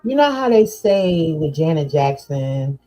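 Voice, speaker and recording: Slow-talking mellow woman host. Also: deep voice, sing-song, soft background music. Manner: slow, drawn-out, chatty with many fillers. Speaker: podcast host. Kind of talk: casual chat.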